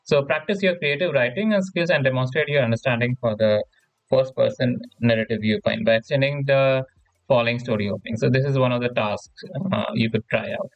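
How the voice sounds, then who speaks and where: A man giving an informal tutorial lecture in a halting, repetitive way, often checking in with the listener. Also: mid-range voice, no room sound, muffled recording. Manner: halting, repetitive, often seeks agreement with "right?". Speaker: tutorial presenter. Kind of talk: informal lecture explaining slides.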